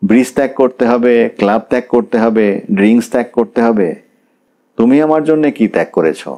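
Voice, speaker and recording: A man's voice: medium pitch, normal speed, warm tone, close to an everyday voice. A man voicing a proud, defensive young husband in an audiobook story, insistent and argumentative.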